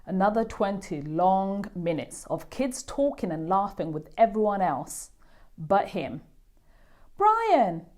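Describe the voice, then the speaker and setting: A woman reading a children's picture book aloud as a host, with expressive, deliberate storytelling. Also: medium pitch. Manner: animated, deliberate delivery for children.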